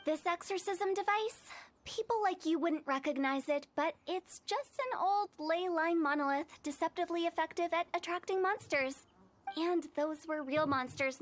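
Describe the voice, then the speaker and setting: A teasing young funeral director. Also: female voice, very high voice. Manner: teasing, playful, cryptic. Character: mischievous young female funeral parlor director. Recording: game audio over music.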